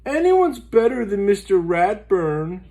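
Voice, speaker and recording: A man's voice: high-pitched, big pitch swings, unhurried, dark tone, voice pushed up, heavily acted. A man voicing a worried young schoolboy in a storybook, exclaiming dramatically. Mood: gloomy.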